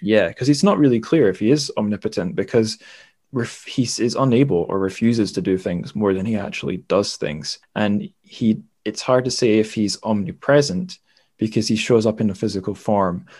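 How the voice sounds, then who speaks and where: Male podcast host, lower register, informal literary chat, halting delivery as he thinks aloud. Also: darkish tone, husky. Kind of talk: casual book discussion.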